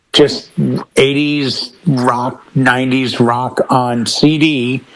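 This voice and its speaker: A man's voice: medium pitch, low-quality recording. A middle-aged American man in a casual panel chat, telling stories as a DJ and acting out bits of dialogue. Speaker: DJ and podcast panelist.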